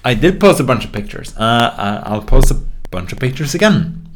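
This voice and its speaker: A man's voice: medium pitch, very animated, normal speed, a little hiss or hum. A man from Norway's west coast, chatting casually on a live stream, swearing a lot and losing his thread often. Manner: profane, easily sidetracked. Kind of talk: casual rambling stream chat.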